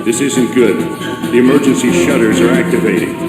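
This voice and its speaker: A man's voice: medium pitch, fairly even intonation, brisk, close to an everyday voice. An actor playing a serious, terse male leader in a video game cutscene, grim and commanding. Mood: urgent. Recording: echoey game audio under loud music.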